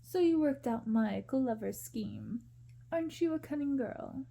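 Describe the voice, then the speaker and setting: Scheming young prince for a storybook, read by a woman: soft-spoken, coaxing lover, very dark mellow tone. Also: high-pitched, expressive, a little slow, creaky, full character voice.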